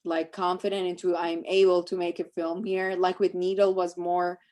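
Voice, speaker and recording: Low, even-voiced Iranian-born woman, reflective. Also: normal speed. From Iran. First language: Persian. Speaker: guest speaker, filmmaker. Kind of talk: reflective conversational talk about own work.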